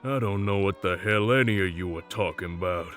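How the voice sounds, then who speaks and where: A man playing a brash, combative loudmouth with a defiant drawl in an audio drama. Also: sits low, a little fast, faint music behind. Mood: groggy, confused. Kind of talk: audio roleplay drama acting.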